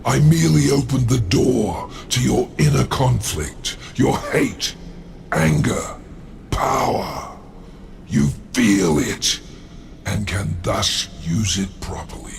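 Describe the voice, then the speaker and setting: A man voicing a grave, menacing ancient overseer spirit in a game cutscene, with a gravelly, slow and portentous delivery. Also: medium pitch, lively intonation, slight background noise, heavily acted. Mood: menacing, intense. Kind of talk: video game cutscene acting.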